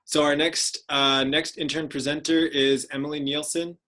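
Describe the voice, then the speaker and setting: A man hosting an online meeting, informally introducing presenters in a complimentary way with frequent pauses. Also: a little high, flat delivery, bright, forceful tone. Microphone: video call.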